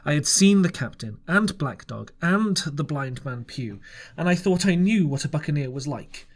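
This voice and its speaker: A man reading a classic adventure novel aloud in the first person. His delivery is earnest and expressive, rising and falling in a lively sing-song, and he now and then stumbles and restarts a word. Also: on the high side, moderate pace. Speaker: audiobook reader. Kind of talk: first-person story narration.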